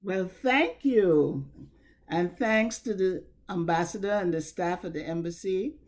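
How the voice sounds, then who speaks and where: Slow-spoken older Trinidadian woman. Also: on the low side, a little mellow. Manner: measured, reflective, wry asides. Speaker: storyteller. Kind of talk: personal storytelling at a virtual story slam. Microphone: video call.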